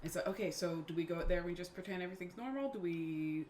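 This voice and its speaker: Woman rpg player, kinda low voice, casual talk, noisy recording. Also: fast, darkish tone, echoey room. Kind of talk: casual chat in a tabletop game. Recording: clear background noise.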